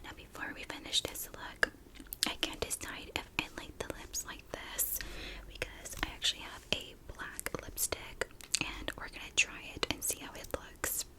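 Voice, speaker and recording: A woman's voice: moderate pace, poor audio. A woman hosting an ASMR video, telling stories in a chatty, confiding and informal way. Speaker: ASMR host telling true crime while doing makeup.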